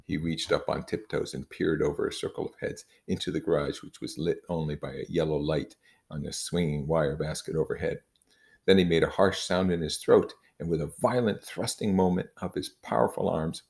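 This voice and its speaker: A man with a low, creaky voice reads literary fiction aloud. His delivery is measured and informal, with some phrases given extra weight. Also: darkish tone. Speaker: reader. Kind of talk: reading a novel aloud with informal comments.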